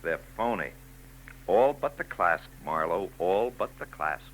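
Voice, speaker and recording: Gruff cop lieutenant, short doubtful questions, lively up-and-down voice. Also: male voice, low-pitched, unhurried, very noisy. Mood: knowing. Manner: terse, skeptical questioning. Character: gruff male homicide detective lieutenant. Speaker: police lieutenant. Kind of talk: 1940s radio acting.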